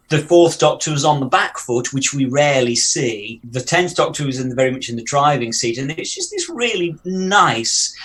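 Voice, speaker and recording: A man's voice: medium pitch, a bit bright. A man co-hosting a podcast, talking enthusiastically and often restarting his words. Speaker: podcast co-host. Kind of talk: casual chat.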